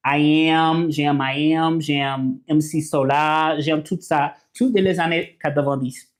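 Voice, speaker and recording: A man whose first language is English, hosting a casual livestream and reading viewer comments, talking haltingly with many fillers and restarts. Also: a little high, fairly even intonation. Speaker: livestream host.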